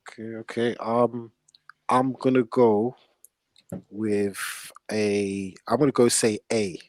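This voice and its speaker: A man's voice: lowish voice, flat delivery, takes it slowly, a little mellow, dry recording. A man hosting a casual football discussion, blunt and opinionated and full of slang. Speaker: football podcast host.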